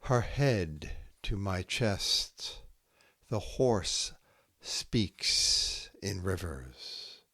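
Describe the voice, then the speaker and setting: A man with a low voice reads poetry aloud at a very unhurried pace. He delivers it in short, measured phrases with space between them. The sound is dry, with very little room in it. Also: expressive, bright, forceful tone, dry recording. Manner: measured, with short phrases set apart by pauses. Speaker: podcast host reading poetry aloud. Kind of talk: poetry reading, haiku recited line by line.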